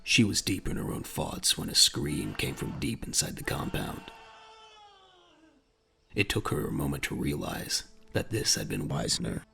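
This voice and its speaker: Very deep, gravelly male narrator. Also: big pitch swings, measured pace, sharp tone. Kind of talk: dramatic scripted audio drama narration. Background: faint music.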